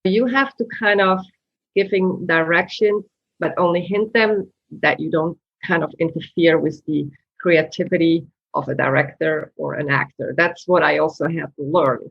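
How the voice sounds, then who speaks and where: Low-voiced woman on a dull remote line. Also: vocal fry, breathy edge, no echo. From Switzerland. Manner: earnest, storytelling, slightly halting. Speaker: guest, screenwriter. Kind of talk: interview answers.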